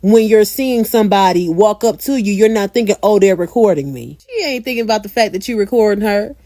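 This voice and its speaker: A woman's voice: medium pitch, animated, fairly quick, warm tone, dry recording, studio quality. A woman ranting in a social media clip, emphatic and slangy. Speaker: commentator in clip. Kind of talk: casual social media rant.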